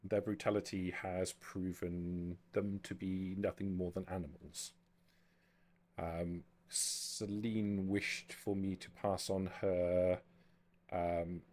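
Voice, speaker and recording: A man voicing a courteous, guarded female vampire envoy in a tabletop game, speaking formally and diplomatically in measured phrases. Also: low voice, little pitch movement, darkish tone. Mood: solemn.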